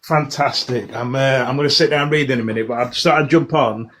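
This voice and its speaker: A man's voice: mid-range voice, steady tone, fast, fairly bright tone, a little hiss or hum. Man coaching on a live call. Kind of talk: casual chat, coaching.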